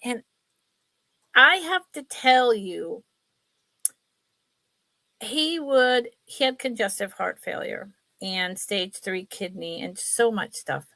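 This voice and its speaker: A woman's voice: medium pitch, expressive, takes it slowly, gravelly, long pauses, sharp tone, no echo. A middle-aged woman with a sniffly, congested nose, chatting casually and ramblingly as she hosts a live sale. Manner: rambling, chatty, apologetic asides. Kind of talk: casual livestream chat.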